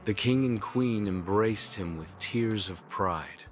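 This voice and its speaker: Male narrator for bedtime tale: low voice, unhurried, solemn, formal, soft music bed. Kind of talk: scripted bedtime story narration.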